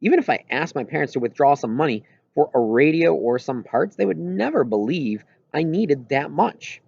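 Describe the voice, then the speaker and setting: A man narrates a children's novel in the first person, reading it aloud. His mid-range voice moves widely up and down in pitch. The recording is dry and a little dull, with hardly any room sound. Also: expressive, normal speed, gravelly, darkish tone, slightly rough, no room sound. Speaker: audiobook reader.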